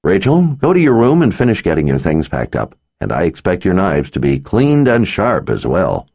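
A man voicing a big, burly male warrior and protective father who speaks in a gruff, drawling, dryly teasing way. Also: sits low, wildly swooping pitch, normal speed, a little mellow, exaggerated voice. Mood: stern.

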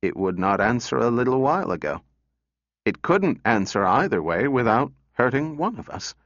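A man's voice: medium pitch, very animated, moderate pace, fairly bright tone, pitched above the performer's own voice. A man voicing a stiff, formal and uneasy male research director in a storybook reading. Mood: toneless. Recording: echoey room, dull muffled sound.

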